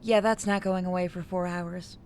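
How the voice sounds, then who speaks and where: A woman's voice: lowish voice, fairly even intonation, moderate pace, a little mellow, clean tone. A woman narrating a visual novel as she plays through it. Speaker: game narrator and player.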